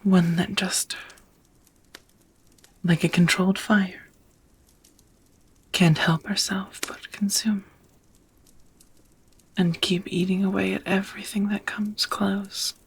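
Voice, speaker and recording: Super breathy whispery vampire lady, woman acting it for asmr, talks real slow, tender n melancholy, old-fashioned formal. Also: medium pitch, level tone, heavily acted. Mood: melancholy, ashamed. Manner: slow, hesitant, formal wording. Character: centuries-old female vampire roommate, tender, melancholy, ashamed. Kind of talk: intimate ASMR roleplay.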